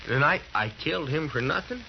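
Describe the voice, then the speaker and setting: Western villain, animated pitch, belligerent, rustic speech, muffled old radio recording. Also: male voice, a little fast, gravelly, a little hiss or hum. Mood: rattled, scheming. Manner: rustic drawling grammar, belligerent. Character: hot-headed, bigoted western gunman villain.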